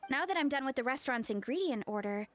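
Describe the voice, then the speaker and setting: A woman's voice: high-pitched, lively intonation, fast. A woman voicing a cheerful, easygoing young female fruit merchant in a chatty, guileless way for a video game. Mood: cheerful explaining. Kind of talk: animated video game voice acting.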